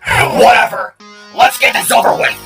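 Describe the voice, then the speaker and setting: A man voicing a cocky, cowardly cyborg shark villain from an audio story, ranting in a strained, gravelly rasp. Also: moderate pace, bright, forceful tone, faint music behind, lightly acted. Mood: panicked, defiant. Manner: ranting, exclamatory, theatrical. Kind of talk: dramatic story character acting.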